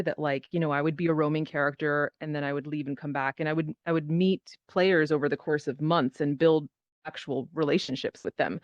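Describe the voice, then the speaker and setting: A woman panelist telling personal anecdotes casually, in a halting, rambling way, over online voice chat. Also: deep voice, no room sound, muffled recording. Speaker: panelist, performer. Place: virtual reality social app session.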